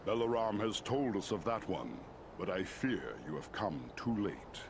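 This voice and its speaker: Stern old-world ruler of giant eagles, fantasy game: measured authority, expressive intonation, clear noise behind. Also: male voice, fairly low pitch. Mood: grave, probing. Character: regal male giant eagle lord, wise and stern. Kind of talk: scripted fantasy game dialogue.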